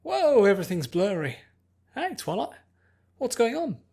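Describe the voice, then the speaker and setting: A narrator voicing an excitable, bubbly young woman, gushing and easily distracted, in an acted audiobook. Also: mid-range voice, a little slow, vocal fry, husky, pitched above the performer's own voice, heavily acted. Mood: dazed, cheerful. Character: excitable, bubbly pony princess friend turned human young woman.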